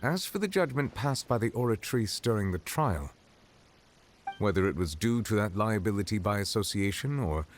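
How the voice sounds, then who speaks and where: An ancient dragon sovereign in human form, played by a man speaks in a low, grave voice. He explains things in formal, measured sentences with solemn calm. Clear music runs under his lines. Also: natural voice. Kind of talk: game character dialogue. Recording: game audio with music behind.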